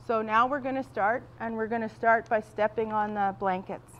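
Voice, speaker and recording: Woman facilitator, high voice, projects loudly to a big room without a mic, dull lo-fi sound. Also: fairly even intonation, normal speed, slight background noise. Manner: loud projecting voice without a microphone. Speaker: workshop facilitator. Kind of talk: guiding a group exercise. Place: large event room.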